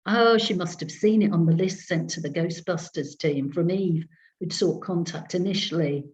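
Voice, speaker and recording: A woman reading a story aloud in the first person with a wry, sardonic, expressive delivery over a video call. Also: fairly low pitch, normal speed. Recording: dull lo-fi video call audio.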